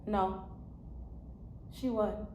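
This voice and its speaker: A woman acting a dramatic stage monologue as a weary, confessional angel who was once a poor woman, speaking slowly and with deep feeling. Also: steady tone, dark tone. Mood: wry, challenging. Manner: slow, heartfelt, deliberate delivery. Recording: roomy echo, faint background noise.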